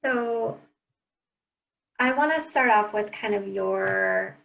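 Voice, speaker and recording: A woman hosting a webinar, asking the guest interview questions in a measured, halting way over a video call. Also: a little high, creaky, a bit bright, slight background noise, poor audio. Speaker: webinar host.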